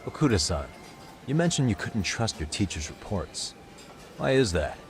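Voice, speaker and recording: An actor playing a calm, polite but direct male detective in a video game drama, asking probing questions. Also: fairly low pitch, moderate pace, a little hiss or hum. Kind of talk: video game cutscene acting. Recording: background music under the dialogue.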